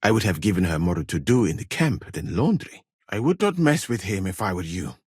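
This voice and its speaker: Husky, courteous scholar-physician. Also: male voice, sits low, sing-song, a little mellow, dry recording, clean studio sound, full character voice. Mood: calm, polite. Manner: formal, measured, uncontracted speech with wry politeness. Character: courteous, proud, learned Malian scholar and physician, a man. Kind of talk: video game character dialogue.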